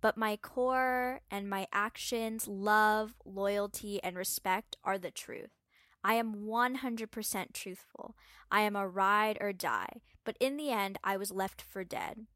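A woman's voice: mid-range voice, slow pace, fairly bright tone, dry recording. Woman reading aloud, close dry sound. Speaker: guest reader and presenter.

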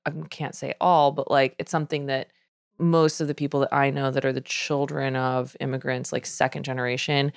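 A woman from the United States, a poet guest on a podcast, chatting casually with quick asides. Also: a bass voice, little pitch movement, clear, resonant tone, no echo. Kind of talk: casual conversational chat.

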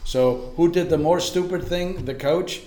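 A man's voice: a little high, measured pace, warm tone, echoey room. A man giving soccer analysis in casual chat, often stumbling over repeated words and tagging his lines with "right?".

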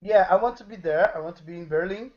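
High-voiced Portuguese-speaking man, muffled audio. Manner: halting, repeats phrases. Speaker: podcast guest, dance teacher. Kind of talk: casual interview in broken English.

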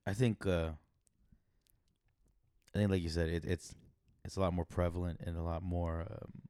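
A young man co-hosting a podcast with a raspy voice, talking thoughtfully with hesitations and restarts. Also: deep voice, little pitch movement, normal speed, mellow, dry recording. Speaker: podcast co-host. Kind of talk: casual chat.

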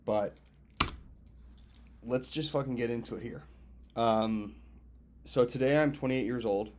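A man's voice: mid-range voice, measured pace, a little mellow, slight background noise. A man in his twenties from Massachusetts, rambling casually and with plenty of swearing as he talks viewers through a birthday check-in from a hotel. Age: 20s. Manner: loose, profane, talks to viewers as friends. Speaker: filmmaker and event host vlogging.

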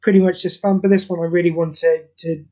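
A man talking as a guest on a podcast about the charity audio play he wrote, candid, self-deprecating and quick to swear. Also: fairly high pitch, flat delivery, dark tone, lo-fi sound. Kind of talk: casual podcast interview.

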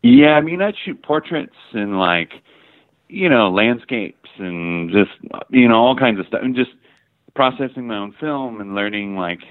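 A low-pitched middle-aged American man from the Midwest tells stories in a loose, filler-heavy way, swearing casually as he goes. His voice comes through a narrow, phone-like line with a dry sound. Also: lively intonation, unhurried, dry recording. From Ohio and Michigan, US. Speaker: guest photographer. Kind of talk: casual interview chat.

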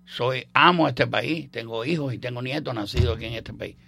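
A man's voice: mid-range voice, a little fast, vocal fry, bright, forceful tone, breathy edge. An older man from Cuba with a Cuban accent, hosting a radio show and chatting about history in a rambling, joking way with many fillers and restarts. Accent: Cuban Spanish. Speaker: radio host. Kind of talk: casual on-air history chat.

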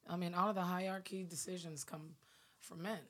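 A woman gospel singer with a raspy voice, speaking earnestly in an interview and often checking that she is understood. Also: lowish voice, steady tone, a little fast. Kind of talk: panel interview conversation.

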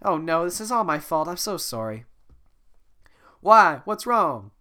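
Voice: big pitch swings, sharp tone. A podcaster doing an impression of a panicky, overexcited male talking donkey in a home podcast retelling. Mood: panicked. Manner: high-strung, rapid, overexcited. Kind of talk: amateur podcast voice acting.

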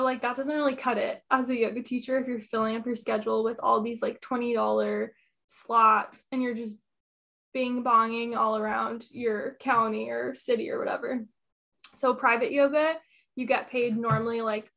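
A woman yoga teacher as a podcast guest, talking in a relaxed, candid, rambling way with fillers. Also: a little high. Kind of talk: casual interview chat. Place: her apartment.

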